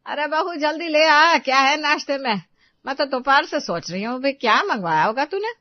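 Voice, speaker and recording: A woman playing a demanding, grumbling older mother-in-law in an animated family story. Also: high-pitched, moderate pace, dry recording, dull audio, voice pushed up. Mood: impatient.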